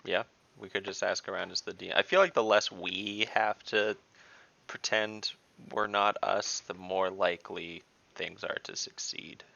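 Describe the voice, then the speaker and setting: A man's voice: sits low, steady tone, unhurried, vocal fry, sharp tone. A man playing in an online tabletop game, thinking aloud hesitantly with ums and trailing into a mutter. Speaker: player. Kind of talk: casual tabletop game chat. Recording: dull online call audio.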